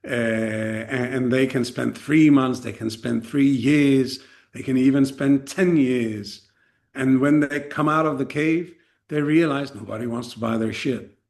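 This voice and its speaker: A man's voice: mid-range voice, unhurried, creaky, darkish tone. A man in his forties with a Danish accent, a podcast guest talking frankly and self-deprecatingly in a casual interview, with plenty of fillers and restarts. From Denmark. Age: 40s.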